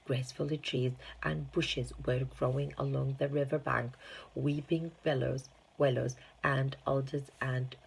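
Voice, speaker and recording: A woman narrating a children's storybook, halting and sometimes stumbling over long words. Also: a bass voice, little pitch movement, gravelly, slightly rough, rough recording. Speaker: storybook reader, teaching assistant.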